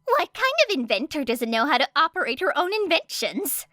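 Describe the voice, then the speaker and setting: Woman acting a brash, high-pitched teenage girl inventor. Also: big pitch swings, fairly quick, sharp tone, dry recording, studio quality, heavily acted. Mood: boastful. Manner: boastful, eager.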